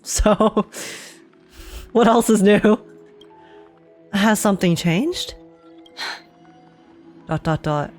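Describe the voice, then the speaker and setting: A woman's voice: mid-range voice, very slow, long pauses, darkish tone. Chatty young woman reacting to a game. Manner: chatty, informal, sweary, theorizing aloud. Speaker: gaming streamer. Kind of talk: casual game reaction commentary. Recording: music playing behind the voice.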